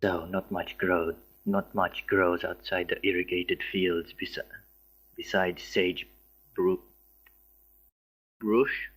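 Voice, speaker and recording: A man's voice: sits low, little pitch movement, slow pace, pauses often, fairly bright tone, slightly rough, poor audio. A man reading a story aloud as an amateur, stumbling over words and dropping in casual asides. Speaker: story reader. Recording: music behind the voice. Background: background music.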